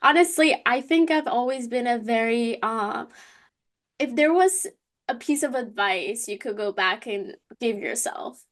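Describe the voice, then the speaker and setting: A woman with a fairly high voice chats on a podcast. Her delivery is informal and conversational, peppered with filler phrases such as "like" and "you know". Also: measured pace, fairly bright tone. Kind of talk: casual podcast chat.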